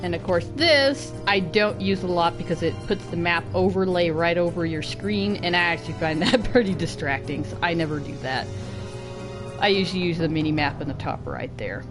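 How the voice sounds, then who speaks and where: A woman's voice: fairly low pitch, moderate pace. Chatty woman streaming a game. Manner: chatty, rambling with fillers, laughs easily. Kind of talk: casual gameplay commentary. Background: game music.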